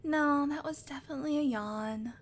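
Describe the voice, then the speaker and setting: A woman playing a sardonic, teasing, predatory vampire with a soft spot, in an intimate, sweary audio roleplay monologue that often drops to a murmur. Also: sits high, normal speed. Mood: teasing, exasperated.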